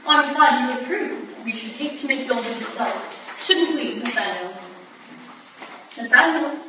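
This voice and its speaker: A woman's voice: sits high, animated, moderate pace. A woman playing an arch, slightly peeved Victorian society wife in a staged play reading, dry, teasing and poised. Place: live theatre reading in a reverberant room. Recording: distant, very echoey room, clear noise.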